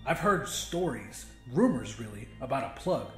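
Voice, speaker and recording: A man's voice: medium pitch, sing-song, normal speed, a bit bright, reverberant, faint music behind. A man voicing a stubborn, scheming young man who speaks earnestly and urgently in a narrated story. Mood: defiant.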